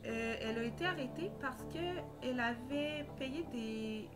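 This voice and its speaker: A young Québécois woman tells a true crime story in a loose, chatty way, hesitating and restarting often. Her voice sits a little high. Loud music plays under her the whole time. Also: unhurried. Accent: Québécois French. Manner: hesitant, many fillers and restarts. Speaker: true crime podcast host. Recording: loud music bed behind voice.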